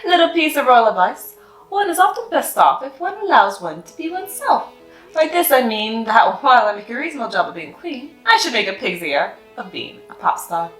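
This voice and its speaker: A woman's voice: fairly high pitch, sing-song, a little fast, fairly bright tone. A woman voicing a gracious, dignified queen reading out a kindly, formal letter. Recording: echoey room with faint music.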